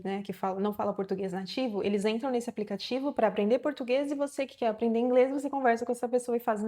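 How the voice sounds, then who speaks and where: Mellow-voiced Brazilian woman in her thirties. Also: mid-range voice. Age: 30s. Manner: chatty, encouraging, many fillers and restarts. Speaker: vlogger. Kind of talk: casual talk to camera.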